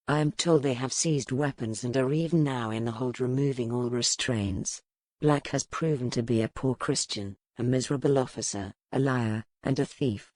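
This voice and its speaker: Old male sea captain, played by an audiobook narrator: pious, cruel, formal log-entry delivery, low register. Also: darkish tone, no room sound, natural voice. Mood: grim, indignant. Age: older. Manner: clipped, severe, righteous. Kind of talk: formal ship's log read as dramatic narration.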